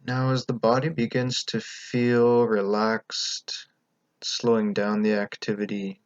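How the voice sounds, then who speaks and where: A man leading a guided meditation as the teacher, speaking in a calm, gentle, unhurried way with pauses between instructions. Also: lowish voice, no echo, dull audio.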